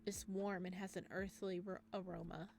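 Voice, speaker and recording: A woman narrating a visual novel in a playful let's play, chatty and giggly, with expressive reactions. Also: medium pitch, moderate pace, creaky. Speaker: gaming video host reading a visual novel aloud. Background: game music.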